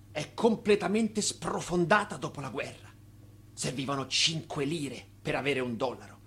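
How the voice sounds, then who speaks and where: An actor playing an assured banker in a radio drama, with a declarative, persuasive manner. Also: male voice, fairly high pitch, a bit bright. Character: assured banker explaining currency speculation. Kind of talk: theatrical radio drama acting.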